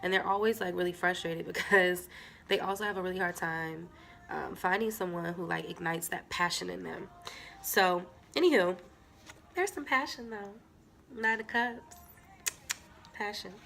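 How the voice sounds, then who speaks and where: Stuffy-nosed woman, gravelly and bright. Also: on the low side, normal speed, pauses often, a little hiss or hum. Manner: chatty, filler-heavy, talks straight to viewers. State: stuffy nose from a cold. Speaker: tarot reader. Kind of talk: casual tarot reading to camera.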